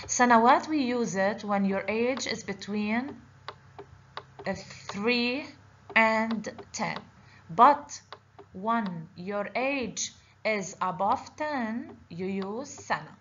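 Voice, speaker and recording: A woman's voice: medium pitch, slow, a bit bright. Woman teacher going thru a language lesson step by step, kinda lo-fi voiceover w/ a bit of hiss. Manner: patient, explaining step by step with frequent "okay?". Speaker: Arabic teacher. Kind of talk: online language lesson over slides. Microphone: voice-over on slides. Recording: slightly dull lo-fi voice-over with faint noise.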